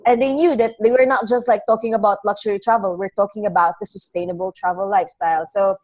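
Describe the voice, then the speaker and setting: A woman's voice: mid-range voice, steady tone, quick pace, a little mellow, rough recording. A young adult woman from the Philippines, telling her story as a guest in a casual webinar interview. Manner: chatty, loose storytelling. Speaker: travel blogger guest.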